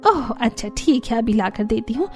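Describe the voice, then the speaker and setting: A woman voicing a bossy, crafty middle-aged auntie in a cartoon story, brisk and insistent. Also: fairly high pitch, animated, darkish tone, soft background music. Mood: falsely hospitable. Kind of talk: Hindi cartoon story character voice.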